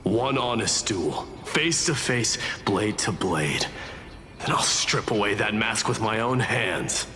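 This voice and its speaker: A man playing a proud, scornful warrior in a fantasy video game, speaking in a haughty, mocking, deliberate manner. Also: lowish voice, expressive, bright, forceful tone, slight background noise, heavily acted. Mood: grim, vengeful. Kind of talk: English video game voice acting. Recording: long hall reverb under faint music.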